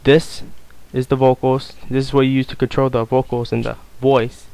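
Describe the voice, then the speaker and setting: A man giving a casual, unscripted software tutorial, explaining things informally step by step. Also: mid-range voice, level tone, moderate pace, gravelly, smooth, no room sound. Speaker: tutorial presenter.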